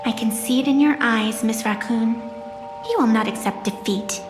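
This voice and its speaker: A woman's voice: a little high, moderate pace, close to an everyday voice. A woman playing a poised, playful and mysterious young female guide in a video game, speaking formally with teasing, faintly haughty theatricality. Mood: intrigued, grandiose. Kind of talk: scripted video game character acting. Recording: echoey, long reverb, music behind.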